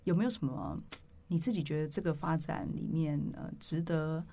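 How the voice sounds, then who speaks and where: A woman's voice: deep voice, expressive, normal speed, dark tone. A middle-aged woman with a Taiwanese accent, interviewing conversationally in articulate, discursive turns.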